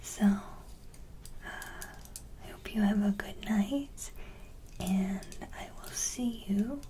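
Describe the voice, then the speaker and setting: Softly whispering woman, slow and unhurried. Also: medium pitch. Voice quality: soft unvoiced whisper. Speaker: ASMR video host. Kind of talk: ASMR, casual whispered chat to viewers.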